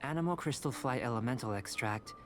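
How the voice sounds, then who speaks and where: An actor playing a calm, bookish young male alchemist in a video game, speaking formally and precisely. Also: mid-range voice, a little hiss or hum, natural voice. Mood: measured, thoughtful. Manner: formal, measured, precise wording. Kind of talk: video game character acting.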